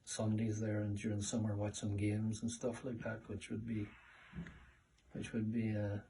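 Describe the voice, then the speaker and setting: A man's voice: fairly low pitch, completely flat delivery, normal speed, gravelly, warm tone. Distant-miked middle-aged Northern Irish man. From Northern Ireland. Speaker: interviewee, father. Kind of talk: casual family interview, reminiscing. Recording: distant mic with background room noise.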